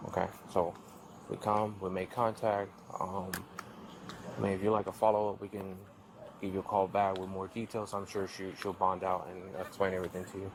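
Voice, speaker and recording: A man's voice: deep voice, little pitch movement, brisk, background noise. A man, a police officer talking hesitantly at someone's front door, with many restarts. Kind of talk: casual talk at a doorstep. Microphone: body camera.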